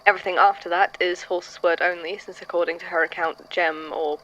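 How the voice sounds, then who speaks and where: A woman's voice: lowish voice, steady tone, fairly quick, a bit bright, lightly acted, low-quality recording. A woman playing a skeptical, matter-of-fact archivist in a horror audio drama, speaking in a dry, detached way.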